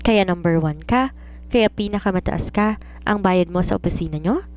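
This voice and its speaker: A woman's voice: on the low side, moderate pace, darkish tone, natural voice. Give a naive young housemaid from a romance audiobook, acted by a woman narrator. She is curious and dreamy, asking her questions eagerly and with respect for her elder. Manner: inquisitive, respectfully addressing an elder. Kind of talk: audiobook character dialogue.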